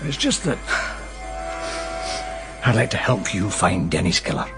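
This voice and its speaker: A shrewd British man quietly probing the underworld in a radio drama. He is polite and deliberate, with very varied intonation, and music plays clearly behind him. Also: fairly low pitch, sing-song. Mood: probing, smooth.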